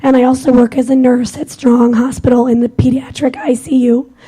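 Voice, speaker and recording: A young woman with a squeaky voice giving an energetic, conversational talk to a live audience at a conference. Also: a little fast, husky, low-quality recording. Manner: energetic, conversational, self-deprecating. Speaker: conference speaker.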